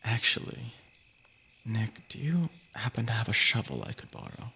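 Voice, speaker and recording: Male streamer, mid-pitched with heavy vocal fry, reading stories informally, slight background noise. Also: very animated, moderate pace, pushed and bright. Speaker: livestream host reading stories. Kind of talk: casual story reading on a livestream.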